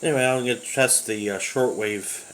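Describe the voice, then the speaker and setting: A man casually walking through an old multiband radio at home in his kitchen, explaining its features in a relaxed, rambling way. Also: mid-range voice, moderate pace, slight background noise, poor audio. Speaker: radio hobbyist reviewing a radio. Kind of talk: casual product walkthrough.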